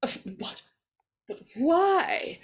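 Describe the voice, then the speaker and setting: Woman acting a high, nervous, stammering older lady. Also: expressive, heavily acted. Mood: horrified, frightened. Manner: halting, stammering. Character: nervous, frightened older woman, superstitious housekeeper type. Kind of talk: 1940s radio horror acting.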